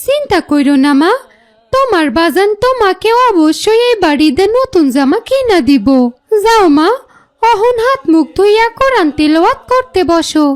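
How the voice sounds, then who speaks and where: A woman playing a gentle, caring village mother in a cartoon, speaking rural dialect with a Bangladeshi accent. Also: very high-pitched, animated, moderate pace. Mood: fond chiding. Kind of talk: cartoon voice acting.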